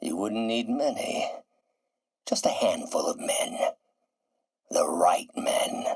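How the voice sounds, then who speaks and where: A man playing a brilliant, embittered middle-aged scientist turned villain in a video game, speaking in a theatrical, deliberate, articulate way with a husky, breathy voice. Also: sits low, very animated, full character voice. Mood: sinister musing. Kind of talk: dramatic video game monologue.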